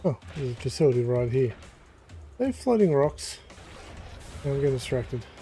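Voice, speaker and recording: Dark-voiced man thinking aloud while gaming. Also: mid-range voice, big pitch swings, moderate pace, faint music behind. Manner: rambling, thinks aloud with fillers. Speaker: gaming streamer. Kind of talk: casual gameplay commentary.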